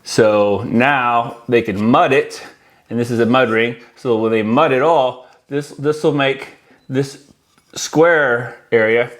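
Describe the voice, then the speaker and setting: A middle-aged American man talks to the camera in a casual, explanatory way. His voice sits at an ordinary male pitch, and he presents like a hands-on installer walking viewers through a piece of gear. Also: slow. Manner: conversational, explanatory, addresses viewers as guys. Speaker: audio-video installer presenting products. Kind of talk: casual product walkthrough to camera. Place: indoor room with decorated wall, at a worktable.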